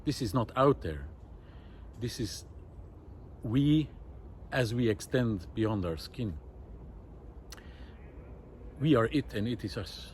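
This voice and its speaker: A man's voice: medium pitch, expressive, slow pace, long pauses, a little hiss or hum. A man giving an unscripted, reflective monologue outdoors in a wooded park, speaking in a thoughtful, hesitant way. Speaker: vlogger.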